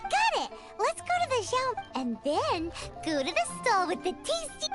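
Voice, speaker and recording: Excitable childlike mascot fairy in a video game, piercingly high and squeaky, loud music underneath. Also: female voice, normal speed, exaggerated voice. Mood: eager. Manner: exclamatory, refers to self in third person. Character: tiny floating childlike fairy mascot, excitable, food-loving.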